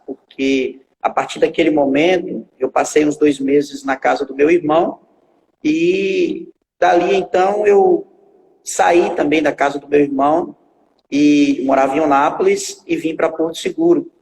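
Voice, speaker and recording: This is a man in his forties with a fairly high, gravelly voice, telling his own story in a halting way full of fillers and restarts. He sounds a little far from the microphone, in a space with a long reverb tail, and a faint hiss sits underneath. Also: steady tone, darkish tone. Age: 40s. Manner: halting, with many filler pauses and repetitions. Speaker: guest pastor. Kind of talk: telling his life story in a live stream.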